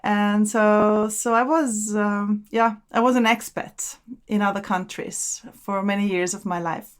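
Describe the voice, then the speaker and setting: Austrian woman, 40s, interview guest, relaxed and reflective, mid pitch. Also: unhurried, smooth. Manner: relaxed, thoughtful, repeats words while searching. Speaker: podcast guest. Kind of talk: casual interview conversation.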